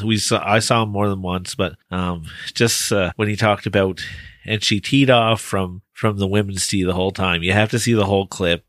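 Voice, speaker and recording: Man, slightly raspy, low voice, dry close mic, rambling casual chat. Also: no echo. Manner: relaxed, rambling with fillers. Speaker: podcast host.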